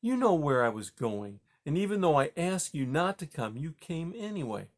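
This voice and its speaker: A man voicing a gentle young female mouse who speaks softly and earnestly. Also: fairly high pitch, very animated, normal speed, voice pushed up. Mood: pleading. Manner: soft, pleading.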